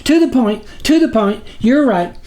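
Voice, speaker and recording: A man voicing a high-voiced, fawning, pleading demon minion in a storybook reading. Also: animated, normal speed, warm tone. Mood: frantic pleading. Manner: obsequious, pleading.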